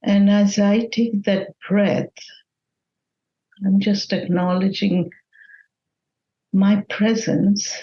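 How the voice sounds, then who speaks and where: Slow-speaking woman guiding a meditation, muffled sound. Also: medium pitch, fairly even intonation. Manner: gentle, measured, with long pauses. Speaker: meditation guide. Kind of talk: guided meditation.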